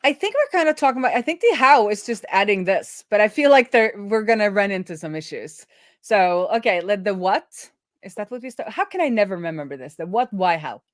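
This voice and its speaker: A woman's voice: mid-range voice, big pitch swings, fairly quick, dry recording, clean studio sound. A woman hosting a coding livestream, chatting casually and thinking aloud as she works.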